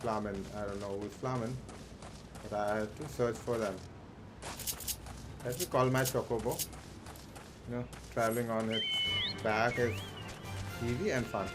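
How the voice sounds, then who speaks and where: A man hosting a game livestream with casual commentary, halting and repeating himself as he thinks aloud. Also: fairly low pitch, fairly even intonation. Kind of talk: casual live gameplay commentary. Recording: music behind the voice. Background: clear background music.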